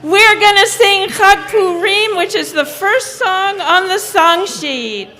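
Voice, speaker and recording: A woman's voice: very high voice, slow pace, bright, forceful tone, faint music behind. A woman leading a children's sing-along at a live event, talking and singing to the group. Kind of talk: animated talk and singing to children. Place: social hall, live event.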